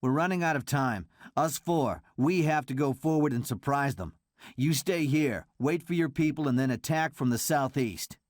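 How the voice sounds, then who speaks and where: A man's voice: mid-range voice, lively intonation, moderate pace, dry recording, clean studio sound, natural voice. An actor playing a blunt, determined male resistance fighter in a game cutscene, giving urgent commands.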